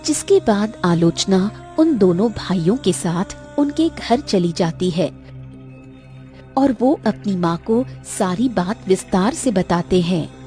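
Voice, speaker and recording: Female cartoon narrator: scripted storytelling, unhurried and mellow. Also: mid-range voice, animated, faint music behind.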